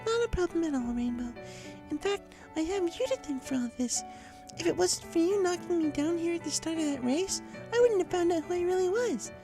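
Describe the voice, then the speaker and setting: A woman voicing a gentle, kindly young female pegasus pony, speaking in a friendly and patient way in a story reading. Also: very high-pitched, expressive, brisk. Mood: warm, reassuring. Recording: music clearly under the voice.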